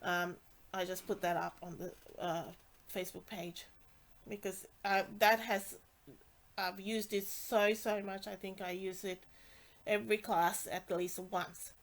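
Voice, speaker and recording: A woman's voice: medium pitch, steady tone, measured pace. A woman with a congested, nasal voice, casually walking through craft products with many fillers and restarts. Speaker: stamping demonstrator.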